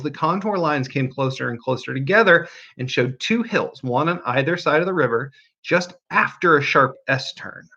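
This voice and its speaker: Animated man reading a story aloud. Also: mid-range voice, highs cut off. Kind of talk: expressive audiobook narration.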